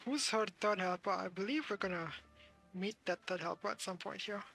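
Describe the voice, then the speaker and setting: A woman's voice: mid-range voice, brisk, vocal fry, fairly bright tone, faint music behind. A woman streaming a video game, casually reacting and commenting as she plays.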